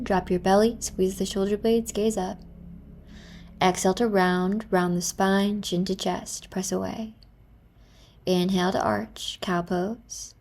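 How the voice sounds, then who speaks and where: Gentle woman guiding yoga, slightly creaky voice. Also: mid-range voice, slight background noise. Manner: gentle, encouraging. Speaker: yoga teacher. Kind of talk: guided yoga class with meditative cues. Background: music.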